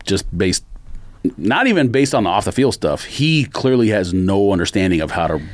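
A man's voice: lowish voice, sing-song, moderate pace. A man hosting a sports podcast, giving blunt, argumentative takes and game picks in casual slang.

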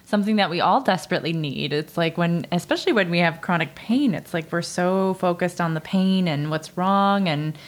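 A woman's voice: fairly low pitch, fairly quick, hardly any pauses. Encouraging woman podcast host. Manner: warm and encouraging, affirming. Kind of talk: casual interview chat.

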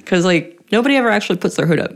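A woman's voice: on the low side, expressive, rapid-fire. A woman from Virginia, a startup founder, lightly teasing and laughing easily in a casual chat.

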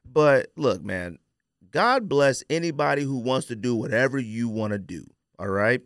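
A man's voice: mid-range voice, expressive, normal speed, a bit bright, no room sound, studio quality. An American man hosting a casual podcast, giving opinions in an emphatic, slangy way and repeating phrases for emphasis. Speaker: podcast host.

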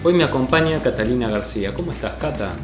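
A man's voice: mid-range voice, animated, fast. A man with an Argentine accent presenting a podcast and chatting as its host. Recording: roomy echo, faint music behind.

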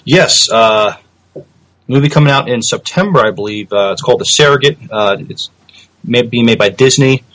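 A man co-hosting a podcast, chatting casually about news with hesitant, halting phrasing. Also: medium pitch, fairly bright tone, low-quality recording. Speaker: podcast co-host. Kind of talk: casual movie news chat.

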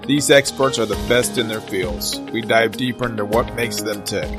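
A man's voice: lowish voice, moderate pace, over music. A middle-aged man hosting a podcast, interviewing in a conversational style. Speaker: podcast host. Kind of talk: conversational interviewing with scripted intro.

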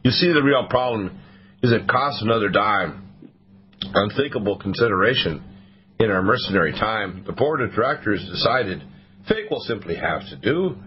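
Hoarse guy on talk radio, kinda low voice, stops and restarts a lot, muffled lo-fi sound. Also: animated, a little hiss or hum. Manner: halting, restarts often. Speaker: host. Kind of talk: talk radio, reading a poem aloud. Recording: dull lo-fi radio broadcast.

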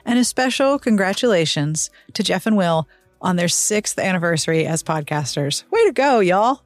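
A woman's voice: fairly low pitch, expressive, moderate pace. A woman hosting a podcast, reading scripted narration. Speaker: podcast host. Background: background music.

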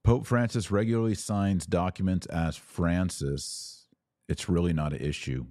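A man's voice: deep voice, unhurried, warm tone, no room sound, clean studio sound. A man hosting a livestream podcast, giving informal, earnest advice and often posing questions that he answers himself. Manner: earnest, exhorting, poses questions and answers them. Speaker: podcast host answering live viewers.

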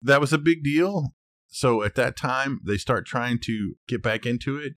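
A man's voice: mid-range voice, a little slow, a bit bright, no room sound, clean studio sound. A man co-hosting a podcast, talking and telling stories in an informal, easygoing, conversational way. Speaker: podcast co-host. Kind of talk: casual conversational storytelling and discussion.

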